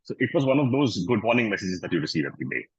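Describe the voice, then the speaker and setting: A man's voice: fairly low pitch, big pitch swings, very fast, slightly rough, no echo, muffled recording. A man sharing a workplace anecdote at a club meeting, then inviting others to share their own stories. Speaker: meeting facilitator.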